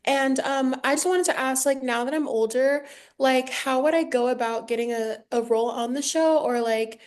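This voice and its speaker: A sixteen-year-old American girl, a young actor, casually and haltingly asking a coach a question. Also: sits high. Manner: hesitant, youthful, halting.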